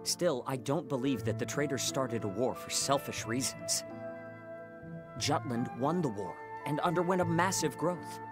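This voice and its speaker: A man voices an earnest young male scholar in a game cutscene. He is formal, idealistic and presses his point in a mid-range voice, with music clearly playing behind him. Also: medium pitch, moderate pace, close to an everyday voice. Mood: earnest, questioning. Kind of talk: dubbed video game cutscene acting.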